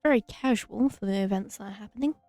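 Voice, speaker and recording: A woman's voice: medium pitch, quick pace, a little mellow, soft background music. A woman giving casual, wry commentary as she plays through a video game. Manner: wry, dry remarks. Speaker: gaming streamer. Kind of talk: casual let's play commentary.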